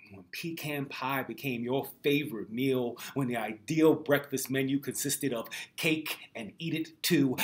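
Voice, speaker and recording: A man's voice: mid-range voice, a bit bright. American man performing rhythmic spoken word. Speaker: poet. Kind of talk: spoken word poetry recitation.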